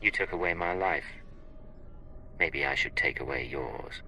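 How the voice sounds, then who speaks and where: An actor playing a cool, confrontational Imperial secret agent in a video game, speaking in terse, probing lines. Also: male voice, rumbling low, unhurried, bright, forceful tone, faint music behind, heavily acted. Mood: bitter, accusing. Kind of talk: video game cutscene acting.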